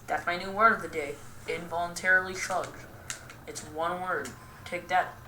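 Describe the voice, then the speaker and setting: A young woman giving casual, rambling game commentary in a self-deprecating, goofy and halting way. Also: lowish voice, steady tone. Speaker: gaming video host. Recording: roomy, distant mic with clear noise.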